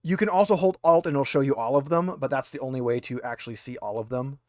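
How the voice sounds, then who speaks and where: A man's voice: mid-range voice, fast, no echo, studio quality. A man game mastering in a casual, improvised way, thinking aloud as he describes things.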